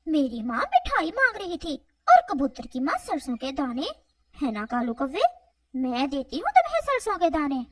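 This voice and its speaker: A woman's voice: high-pitched, wildly swooping pitch, moderate pace, no echo, recorded from a distance. A woman voicing a female eagle, a sentimental yet stern elder sister in a children's cartoon, speaking emphatically. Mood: stern, mocking. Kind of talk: animated children's moral story dialogue.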